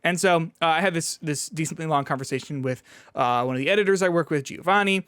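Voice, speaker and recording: A man co-hosting a podcast, chatting casually about video games in a halting way, often restarting his thoughts. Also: on the high side, a bit bright, clean tone, dry recording. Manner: halting, filler-heavy, starts and restarts thoughts. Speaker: podcast co-host and games reviewer. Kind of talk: casual video game chat.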